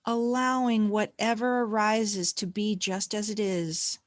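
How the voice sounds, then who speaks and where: A woman leading a guided meditation in a gentle, calm, unhurried manner with long pauses between phrases. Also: mid-range voice, no echo, studio quality.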